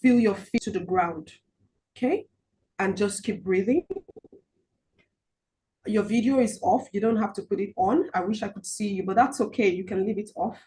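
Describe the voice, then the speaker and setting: Halting woman money coach on a call. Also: medium pitch, a little hiss or hum, low-quality recording. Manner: halting, many filler ums and restarts; soft, slow guided-relaxation instructions. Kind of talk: online group coaching session with guided visualization. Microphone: video call.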